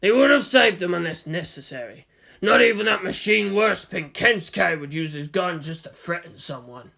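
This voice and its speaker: Picture a man playing a gunsmith in his seventies from an audio story. His voice crackles with gravel and carries a hard, forceful edge at a slightly raised pitch. He speaks bluntly and matter-of-factly, with a world-weary temper. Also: animated, moderate pace, full character voice. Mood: weary, solemn.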